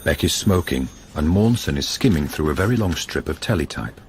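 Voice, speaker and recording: A man's voice: extremely low pitch, animated, a little fast, a little hiss or hum. A man reading scripted narration for a radio drama.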